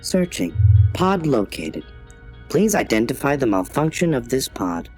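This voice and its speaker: A man's voice: mid-range voice, big pitch swings, a little slow, darkish tone, soft background music. A man voicing a polite but obtuse customer-service AI in an audio drama, formal, scripted and impassive. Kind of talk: automated assistant in audio drama.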